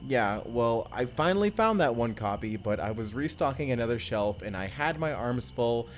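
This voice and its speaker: A man's voice: medium pitch, animated, moderate pace, faint music behind, natural voice. A man playing an earnest, love-struck young husband stranded back in time, speaking tenderly and conversationally in an intimate audio roleplay. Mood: fond, nostalgic. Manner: conversational, tender, chuckling storyteller.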